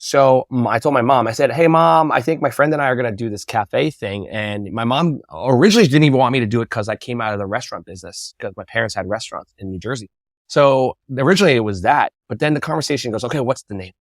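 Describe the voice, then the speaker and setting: A man being interviewed, telling casual anecdotes and acting out quotes with animated energy. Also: mid-range voice, quick pace, no echo, clean studio sound. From United States. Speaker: interview guest, bubble tea entrepreneur.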